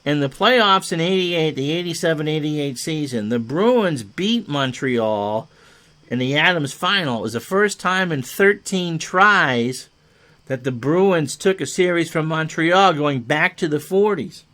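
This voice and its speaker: Guy doing hockey play by play, voice a bit on the high side, chatty, short clipped calls and jokey asides. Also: animated, measured pace, pushed and bright. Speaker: play-by-play sports commentator. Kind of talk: hockey game commentary.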